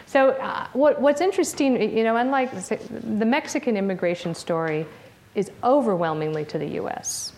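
A woman's voice: on the high side, lively intonation, normal speed, a little mellow, slight background noise. A woman giving an academic talk to an audience in a conversational way, with frequent fillers and restarts. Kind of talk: academic lecture with audience questions. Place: lecture room with audience.

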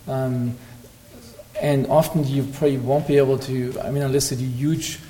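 A man giving an academic lecture and answering questions, pausing often with ums and restarts. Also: medium pitch, flat delivery, warm tone, low-quality recording. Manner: hesitant, frequent ums and restarts. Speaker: lecturing researcher.

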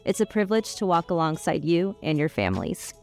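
A woman from Northern California, a clinical social worker, giving a warm, sincere scripted introduction. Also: on the low side, big pitch swings, fairly quick, soft background music.

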